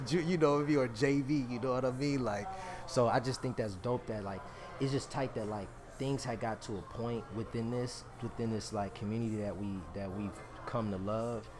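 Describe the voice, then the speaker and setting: A man in his early thirties from Oakland, California, talking casually on a podcast in an animated, slang-heavy way. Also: medium pitch, normal speed. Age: 30s. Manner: animated, agreeable back-and-forth with slang.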